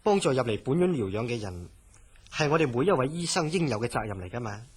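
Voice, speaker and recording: A man plays a calm psychiatric hospital director in a radio play. His voice is very rough and husky at an ordinary male pitch, measured and placating, with a Hong Kong Cantonese accent. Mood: reassuring. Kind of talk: Cantonese horror radio drama acting.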